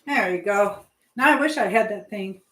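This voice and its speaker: A woman's voice: medium pitch, expressive, moderate pace. A woman chatting casually and thinking aloud in a rambling way, as a livestream host busy in her home kitchen. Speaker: livestream host, language teacher. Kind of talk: casual live chat while cooking. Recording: roomy echo, clear background noise.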